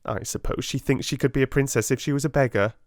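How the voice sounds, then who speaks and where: Man voicing a spiteful, haughty schoolgirl, pitched up high. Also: fast, a little mellow, no room sound, studio quality, close to an everyday voice. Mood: mocking. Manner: scornful, snippy.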